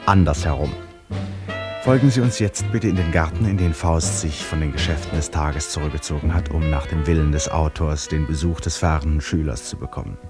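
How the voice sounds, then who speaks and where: A man's voice: very deep voice, brisk. A man as the composed, wry narrator of a radio play, addressing listeners in scripted narration. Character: composed, wry male presenter guiding the audience. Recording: plucked guitar music behind.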